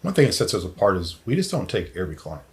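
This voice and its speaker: A man's voice: deep voice, big pitch swings, fast talker. A man talking as a financial advisor in a podcast interview, plain-spoken and emphatic about his points.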